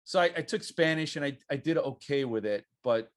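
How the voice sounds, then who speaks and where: Brief: American male host, mid-pitched, chatty, self-deprecating, casual interview over a call. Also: animated, brisk. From United States. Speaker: podcast host.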